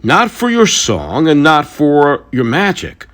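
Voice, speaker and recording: A man playing a weary, brooding thunder god in a mythic audio drama, confiding and halting in his burdened role as protector. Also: fairly high pitch, huge pitch swings, a bit bright, full character voice. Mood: defiant, earnest.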